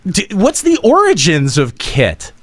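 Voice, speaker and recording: Animated man hosting casual radio banter. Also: sits high, unhurried, background noise. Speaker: radio show host. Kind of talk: casual banter between hosts.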